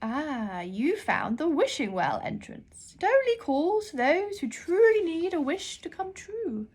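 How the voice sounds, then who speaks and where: A storybook librarian of magic, booming out in a high, loud voice. She is an older, eccentric woman, brusque and imperious, speaking as if everyone were hard of hearing. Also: sing-song, takes it slowly, heavily acted. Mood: grand, instructive.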